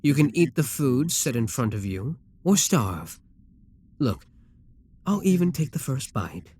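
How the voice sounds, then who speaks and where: A man's voice: mid-range voice, very animated, measured pace, mellow, slightly rough. A man playing a proud, seductive demon king in an ASMR roleplay, speaking in an imperious, courtly and theatrical manner. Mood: indignant, haughty.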